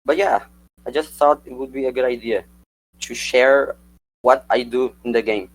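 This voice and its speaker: Young man, a native Portuguese speaker, reading a scripted talk to slides in an even middle pitch. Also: normal speed, rough recording. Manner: reads prepared script, calls for slides. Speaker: student presenter. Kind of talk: prepared slide presentation.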